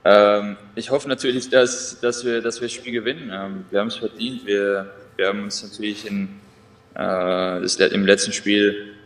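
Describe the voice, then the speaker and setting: A young man speaking over a video call as an injured player, halting with many fillers. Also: lowish voice, steady tone, fairly bright tone.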